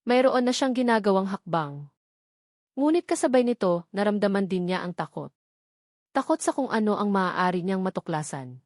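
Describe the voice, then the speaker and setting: A woman's voice: mid-range voice, lively intonation, moderate pace, darkish tone, no echo, studio quality. A woman with a Filipino accent reading a scripted dramatic story as its narrator.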